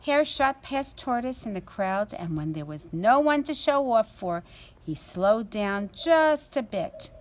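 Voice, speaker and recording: Slow, deliberate woman storyteller. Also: fairly high pitch, animated. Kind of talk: children's story read aloud.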